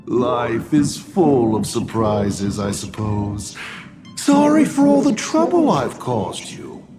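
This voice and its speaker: A man voicing a teasing, theatrical talking die in a video game, smooth-talking and mock-polite. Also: mid-range voice, very animated, slow, over loud music. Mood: wry.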